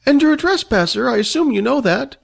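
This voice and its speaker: A man reading a storybook aloud, voicing a fussy, pompous old male wizard with a thin, high voice and a prim, inquisitive manner. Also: expressive, brisk, vocal fry, heavily acted. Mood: haughty.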